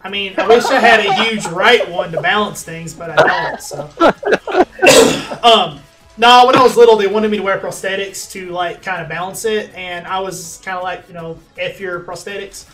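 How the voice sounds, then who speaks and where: A man's voice: high-pitched, moderate pace, fairly bright tone. A man in his twenties hosting a casual gaming stream on a headset mic, joking about himself in a laid-back way. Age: 20s. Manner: laid-back, self-deprecating joker. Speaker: streamer host. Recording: faint game music behind.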